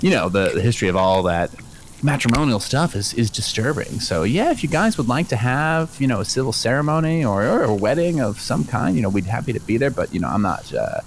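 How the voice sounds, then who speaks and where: A man playing an affable, progressive middle-aged father in an improvised comedy radio play, casual, rambling and gently preachy. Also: medium pitch, very animated, brisk. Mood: disapproving, lecturing. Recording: faint sizzling barbecue noise behind.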